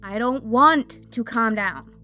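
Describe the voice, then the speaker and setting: A woman's voice: a little high, takes it slowly, bright, forceful tone, faint music behind. A woman playing a hot-tempered, upset young fire mage in an audio drama, blunt and emotional. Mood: angry, desperate.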